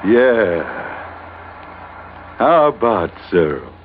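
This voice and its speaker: A man's voice: on the low side, very animated, drawn-out words, exaggerated voice. An actor playing a brash, roguish ship's first mate with blunt tough-guy banter in a 1940s radio adventure. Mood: defensive, sly. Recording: tinny, faintly noisy old radio recording.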